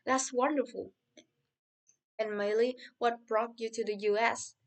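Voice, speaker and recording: A man's voice: very high voice, fairly even intonation, a little slow, gravelly, heavily acted. A man playing a friendly, upbeat podcast host in a scripted interview for English learners. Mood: cheerful.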